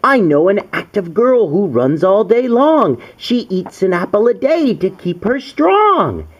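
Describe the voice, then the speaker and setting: A man voicing gentle, gracious, excited female fairies from a storybook, in sing-song rhyme. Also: high voice, a little slow, lo-fi sound, heavily acted. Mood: admiring.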